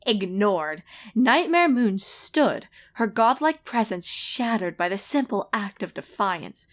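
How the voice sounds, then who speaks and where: A woman reading scripted storybook narration in a dramatic, expressive manner. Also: mid-range voice, slow.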